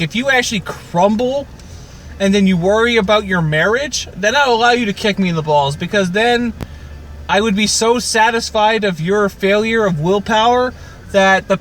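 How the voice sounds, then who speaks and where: A man's voice: high-pitched, moderate pace, a bit bright. A man co-hosting a podcast in casual, teasing banter, needling his friend as he talks. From Canada. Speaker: podcast co-host.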